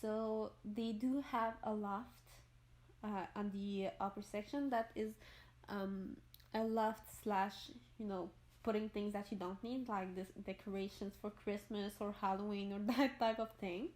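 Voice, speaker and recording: A woman's voice: mid-range voice, fairly even intonation, a little slow, a little mellow. A young French Canadian woman, French her first language, narrating a game-building video in a rambling way and often searching for words. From Montreal, Quebec. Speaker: gaming YouTuber.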